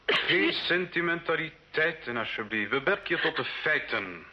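An imperious bishop in an old radio drama. He speaks slowly and suavely, with wide swings of pitch, on a dull recording. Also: animated. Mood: stern, impatient. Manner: measured, suave, commanding. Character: cold, imperious scheming medieval bishop. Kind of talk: Dutch-language radio play acting.

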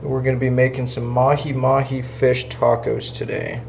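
Man with a gravelly voice in a kitchen. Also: mid-range voice, flat delivery, moderate pace, noisy background. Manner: upbeat, chatty, explains each step. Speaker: cooking vlogger. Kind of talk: casual cooking vlog to camera. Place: home kitchen, indoors.

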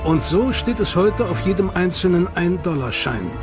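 A male narrator reads a documentary script with dramatic, suspenseful emphasis. His voice is notably high for a man. Clear music runs beneath his studio voice-over. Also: big pitch swings, normal speed. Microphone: studio mic.

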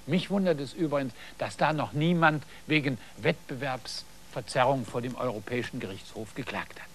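A middle-aged man presents a TV hobby programme from a studio garden set. He talks straight to the viewers in a didactic, explanatory way. His voice sits at an ordinary pitch and is picked up by a lapel mic. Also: normal speed, slight background noise. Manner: didactic, addresses viewers directly. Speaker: TV host.

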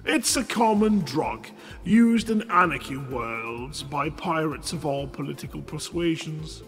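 Casting: male stream host, high voice, slow delivery, jokey story reader over light music. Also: animated, breathy edge. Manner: playful, jokey. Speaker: host of a live radio stream reading listeners' short stories. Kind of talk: live reading of short fiction with casual banter. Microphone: headset microphone.

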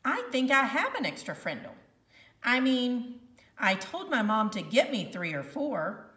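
A woman acting as a showy young schoolboy from a storybook, chattering theatrically. The voice is bright and bounces widely in pitch, with room echo. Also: sing-song, unhurried, heavily acted. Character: showy young schoolboy making a big act. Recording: roomy, slightly distant home recording.

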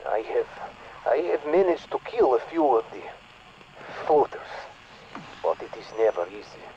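An actor playing an earnest male Russian scientist speaking over a radio, with a Russian accent and a slow, measured manner. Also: medium pitch, sing-song, warm tone, dull audio, a little hiss or hum. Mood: wry warning. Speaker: in-game radio character. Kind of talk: recorded game dialogue.

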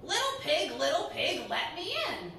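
A woman voicing a menacing, hungry male wolf in a storybook, with loud, drawn-out, singsong lines. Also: sits high, wildly swooping pitch, exaggerated voice. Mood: coaxing. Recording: echoey room, distant mic, background noise.

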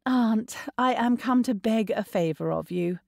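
A woman's voice: on the high side, a little slow, creaky, no echo, studio quality. A woman voicing a young lady in an audiobook, earnest, polite and spirited. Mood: pleading.

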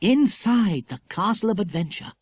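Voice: mid-range voice, lively intonation, moderate pace, a little mellow, husky, dry recording, pitched above the performer's own voice. A reader voicing an eager young schoolboy. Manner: eager, exclamatory. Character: excited adventurous schoolboy. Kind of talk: audiobook character reading.

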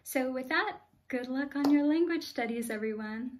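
A woman's voice: high voice, moderate pace, a little hiss or hum. A young American woman answering interview questions at home in a friendly, thoughtful way, with frequent "uh" fillers. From United States. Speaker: interview guest. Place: small room at home.

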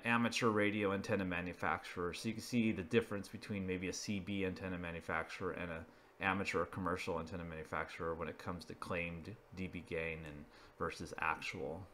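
A man's voice: on the low side, a bit bright. A man presenting an informal narrated slideshow, explaining each item in a matter-of-fact way. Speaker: video presenter.

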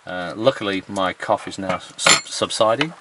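A man's voice: deep voice, normal speed, heavy background noise. A man in his fifties explaining things casually to camera as a sailing vlog host. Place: outdoors at a marina and aboard a sailboat.

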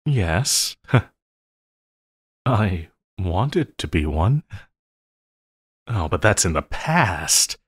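A man as a lovestruck villain, slow theatrical drawl. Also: low voice, sing-song, breathy edge, no echo, studio quality, full character voice. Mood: gleefully self-mocking. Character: obsessive lovestruck male villain, playfully menacing, pleading. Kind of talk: intimate audio roleplay acting.

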